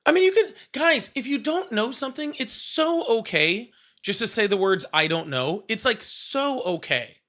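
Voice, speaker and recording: A man's voice: extremely high pitch, sing-song, normal speed, bright, forceful tone. A man in his thirties hosting a live call-in stream, blunt and joking as he challenges callers. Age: 30s. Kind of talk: casual live call-in chat.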